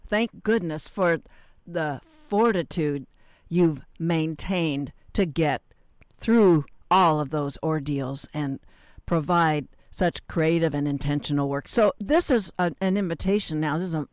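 A radio host speaks at a middle pitch, appreciative and encouraging toward the guest. The sound is dry and close, with no room around it. Short affirmations fill the gaps between questions. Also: dry recording. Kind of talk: radio interview hosting.

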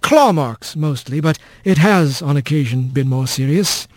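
A man's voice: fairly high pitch, lively intonation, measured pace, darkish tone, no echo. A man voicing a formal, fussy rabbit official with polite, careful, slightly hesitant phrasing. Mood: officious, reserved. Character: prim, officious male rabbit, formal bureaucrat.

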